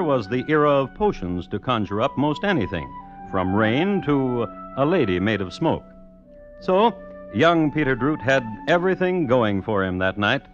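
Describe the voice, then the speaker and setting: A man's voice: mid-range voice, close to an everyday voice. A man playing a droll, mock-solemn narrator of macabre tales in an old radio drama. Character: wry male storyteller of macabre tales. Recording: muffled vintage radio recording. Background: music.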